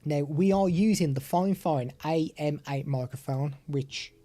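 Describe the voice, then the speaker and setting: A British man hosts a live stream, walking through a product demo in a chatty, step-by-step way. His voice is mellow and soft-edged, and he turns aside to chat with viewers between explanations. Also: on the high side, unhurried. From United Kingdom.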